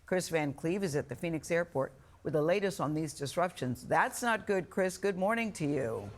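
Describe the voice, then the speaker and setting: A woman's voice: fairly low pitch, expressive, moderate pace, a little hiss or hum. A woman reading a scripted introduction as a broadcast news anchor.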